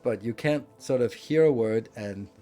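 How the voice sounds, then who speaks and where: A man's voice: medium pitch, moderate pace, warm tone, faint music behind. Danish-accented man chatting casually. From Denmark. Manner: rambling, self-deprecating, frequent restarts and fillers. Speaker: gaming livestream host. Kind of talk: casual chat with viewers while gaming.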